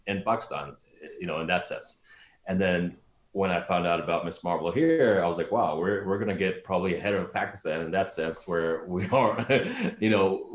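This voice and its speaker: A man co-hosting a podcast, telling a relaxed, reflective story in casual chat. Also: low-pitched. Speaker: podcast co-host. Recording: echoey room.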